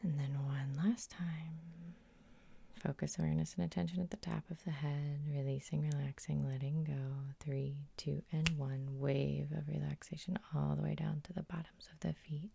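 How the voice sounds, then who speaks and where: Lady hypnotherapist doing asmr sleep hypnosis, low soft whispery voice, dark and soothing, slow lingering phrases. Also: little pitch movement, a little hiss or hum. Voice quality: soft, breathy half-whisper. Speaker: hypnotherapist and host.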